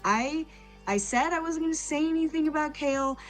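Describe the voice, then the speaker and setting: A woman's voice: high-pitched, a little fast, soft background music, pitched above the performer's own voice, natural voice. A woman voicing an earnest, bossy but kindly female adventurer in a tabletop game, talking in an emphatic ramble. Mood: exasperated.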